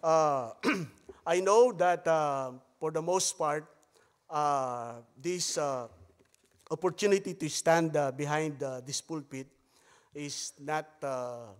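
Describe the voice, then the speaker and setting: Filipino preacher with a hesitant delivery. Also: male voice, fairly high pitch. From Philippines. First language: Tagalog. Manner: halting delivery with frequent hesitations; softer, hushed tone while praying. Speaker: visiting missionary pastor. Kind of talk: sermon with Bible reading and prayer. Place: church sanctuary. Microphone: pulpit microphone. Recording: long church hall reverb.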